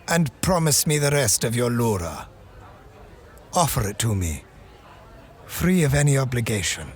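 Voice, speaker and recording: An actor playing a proud, wary male ally in an audio drama, speaking in a measured, formal way. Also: medium pitch.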